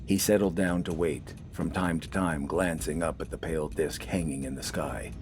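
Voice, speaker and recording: A man's voice: extremely low pitch, fairly even intonation, moderate pace, background noise. A man reading scripted, dramatic fiction as an audiobook narrator.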